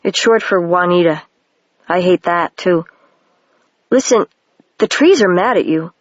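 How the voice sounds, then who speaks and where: A reader voicing a bold, curious young girl who is a wizard, slightly higher than their plain reading voice, on a dry, dull lo-fi home recording. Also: lively intonation, a little slow, no room sound.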